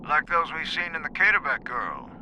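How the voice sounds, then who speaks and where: A man's voice: fairly high pitch, huge pitch swings, normal speed, pushed and bright, slight background noise, exaggerated voice, low-quality recording. An actor playing a polished, persuasive man who funds research, in an audio drama. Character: smooth, persuasive male backer of the research.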